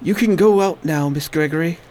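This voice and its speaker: A man's voice: on the high side, normal speed, a little mellow, lightly acted. A man playing a sick nobleman in a radio drama, his voice weak and pained. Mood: weak, weary. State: weak, in pain. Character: sick, suffering man of rank, pleading then weary.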